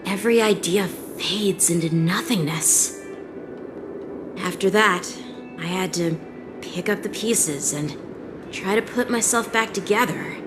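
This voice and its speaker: A woman's voice: mid-range voice, slow, music in the background, natural voice. A woman voicing an earnest, proud teenage boy swordsman in a video game cutscene. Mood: reflective.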